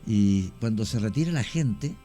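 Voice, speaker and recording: A man's voice: fairly low pitch, animated, dark tone, soft background music. Chilean man telling eerie cemetery tales. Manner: unhurried, many fillers and restarts, anecdotal. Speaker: guest storyteller. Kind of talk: radio conversation, recounting cases.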